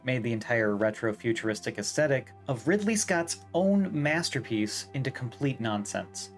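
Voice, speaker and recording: A man narrating a scripted video essay in a wry, emphatic way, given to swearing. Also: medium pitch. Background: background music.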